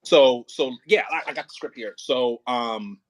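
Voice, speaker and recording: A man coaching an actor casually as an audio play director, thinking aloud in halting, repetitive phrases and sounding encouraging. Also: medium pitch, dry recording.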